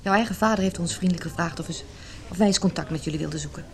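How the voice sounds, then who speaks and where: A woman's voice: lowish voice, rapid-fire, natural voice. An actress playing an earnest, guarded married woman in her late thirties in a Dutch radio play, speaking sincerely and a little defensively. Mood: hesitant. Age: 30s. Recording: radio play with clear background noise.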